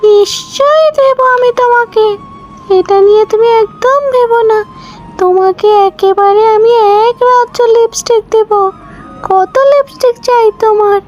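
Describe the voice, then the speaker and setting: Cartoon fairy, big-hearted and soothing, played by a woman; high, unhurried delivery over music. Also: darkish tone. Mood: kindly reassuring. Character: big-hearted, reassuring fairy granting wishes to a child. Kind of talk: children's cartoon voice acting.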